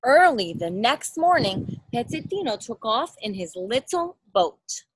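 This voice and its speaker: A woman's voice: fairly high pitch, very animated, a little slow, slightly rough, dry recording, low-quality recording. A woman, around her thirties, reads a children's storybook aloud expressively, explaining things and asking simple questions for a child. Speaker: parent reading a picture book aloud. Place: outdoors in a backyard. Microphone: phone or laptop at arm's length.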